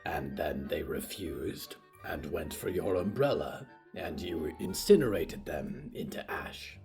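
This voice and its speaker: A man voicing a calm demon hotel manager who speaks slowly, in deliberate, formal phrases. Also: extremely low pitch, vocal fry, faint music behind, pitched below the performer's own voice, full character voice. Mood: calm, puzzled. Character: calm, deep-voiced demon hotel manager, low and measured.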